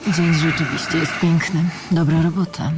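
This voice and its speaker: An actress playing a sultry, sharp-tongued sorceress in an audio drama, murmuring languidly and intimately to her lover. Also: sits low, fairly even intonation, normal speed, music in the background, poor audio. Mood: wry, pleased.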